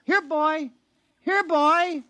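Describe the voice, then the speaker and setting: An actor playing a meek, lovestruck young lawyer in a 1950s radio comedy drama, hesitant and sheepish. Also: male voice, very high voice, very slow, sharp tone, no echo, heavily acted. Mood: proud, coaxing. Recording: muffled 1950s radio recording.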